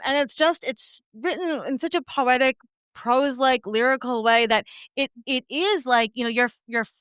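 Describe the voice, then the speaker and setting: A woman's voice: a little high, a bit bright, clear, resonant tone, no echo. A middle-aged woman hosting a podcast, interviewing in an effusive, informal, conversational way. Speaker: podcast host.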